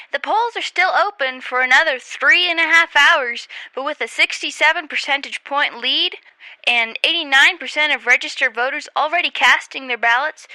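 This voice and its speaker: A woman's voice: high voice, sharp tone, dry recording, full character voice, rough recording. A woman playing an earnest, overconfident TV field reporter in a mock newscast, with emphatic delivery. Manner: emphatic on-the-scene news delivery. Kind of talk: mock newscast comedy acting.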